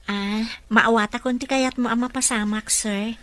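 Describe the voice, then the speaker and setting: Ilocano radio drama: respectful female employee and aunt, played by an actress, higher register, dry studio sound. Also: steady tone, moderate pace, a bit bright, dry recording, close to an everyday voice. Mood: deferential, surprised.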